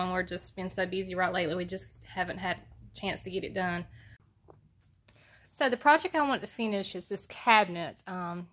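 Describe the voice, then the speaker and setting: A soft-spoken woman with a Southern US accent, talking low and hesitantly with many ums as she shows a home project alone in front of her phone camera. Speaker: homestead vlogger. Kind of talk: casual how-to vlog.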